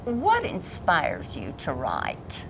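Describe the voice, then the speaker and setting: A woman interviewer asks a poet about her craft, warm and encouraging as she goes. Her voice is slightly low. Faint background noise sits under the recording. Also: huge pitch swings, drawn-out words, creaky. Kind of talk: interview questions.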